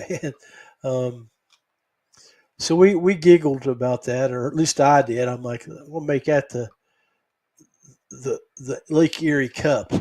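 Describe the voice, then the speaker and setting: Halting, folksy man recapping casually. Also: mid-range voice, a little mellow. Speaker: podcast host. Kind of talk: casual unscripted fishing recap.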